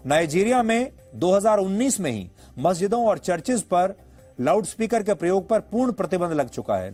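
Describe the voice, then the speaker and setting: High-pitched male news anchor over music. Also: darkish tone. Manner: emphatic, rhetorical, explanatory. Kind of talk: news commentary, editorial monologue.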